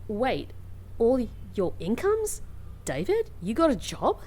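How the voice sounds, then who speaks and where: A woman playing a sardonic, flustered young woman in a comedy fantasy audio drama, with dry, halting delivery. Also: fairly high pitch, huge pitch swings, a little mellow, full character voice. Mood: incredulous.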